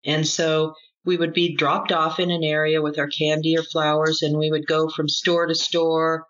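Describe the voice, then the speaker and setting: A woman interview guest giving reflective testimony in an earnest, deliberate way, building her points through repetition. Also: deep voice, little pitch movement, a bit bright. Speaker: interview guest, former group member recounting experience. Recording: dull lo-fi audio.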